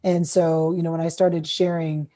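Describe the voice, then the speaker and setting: A woman's voice: sits low, flat delivery, normal speed, mellow. A woman moderating a roundtable in a conversational way, handing turns to panelists.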